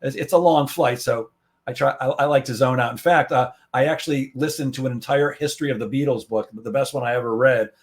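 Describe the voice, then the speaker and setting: Relaxed male guest, mid-pitched. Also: fairly quick. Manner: relaxed, hesitant, many fillers. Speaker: guest, comedian and sales coach. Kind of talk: casual interview chat.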